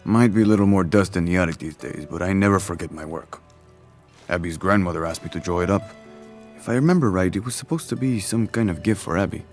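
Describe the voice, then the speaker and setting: A man plays an easygoing older craftsman in a video game scene. His voice is deep, with a relaxed, wry and quietly proud delivery. Light music sits behind him. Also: fast talker, close to an everyday voice. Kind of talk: video game cutscene acting.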